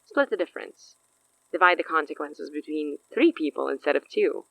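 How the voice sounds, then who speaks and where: A cocky supernatural villainess from a horror audio drama. Her voice is very low for a woman, close and dry. She talks in a smug, coy way, teasing as she offers her bargains. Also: lively intonation, normal speed, gravelly, a bit bright, no echo, heavily acted, poor audio. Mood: smooth bargaining.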